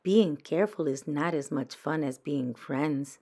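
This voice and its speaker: A woman's voice: low-pitched, unhurried, a little mellow. Woman voicing a firm, shrewd little girl badger. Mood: conciliatory. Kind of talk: children's storybook character voice.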